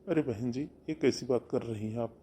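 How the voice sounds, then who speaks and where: A man's voice: mid-range voice, normal speed, warm tone, slight background noise, natural voice. A man voicing a kindly middle-aged brother in a cartoon who reassures his sister soothingly. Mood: reassuring. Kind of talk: cartoon voice acting.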